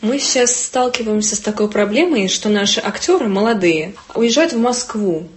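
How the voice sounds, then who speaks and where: A woman interviewer asking polite, measured questions. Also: mid-range voice, lively intonation, echoey room, a little hiss or hum.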